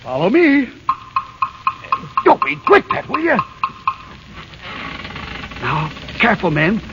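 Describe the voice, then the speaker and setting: A man's voice: high voice, huge pitch swings, slow pace, full character voice. An actor playing a flustered old dwarf leader, bossy but kindly, who stammers and muddles his words in 1930s radio style. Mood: flustered, urgent. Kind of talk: 1930s radio drama acting. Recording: muffled scratchy 1930s radio broadcast.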